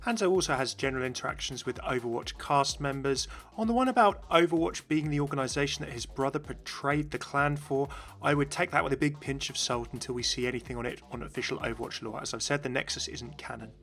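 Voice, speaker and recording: A man's voice: mid-range voice, quick pace, a bit bright. A man giving upbeat, informative explanatory commentary as narrator of a video game channel, recorded close on mic.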